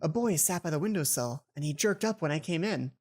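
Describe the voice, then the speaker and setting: Lower-pitched woman narrating a game playthrough. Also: fairly quick, slightly rough. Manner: expressive reading with frequent ad-libbed asides. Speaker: let's player. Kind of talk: reading a visual novel aloud with casual commentary.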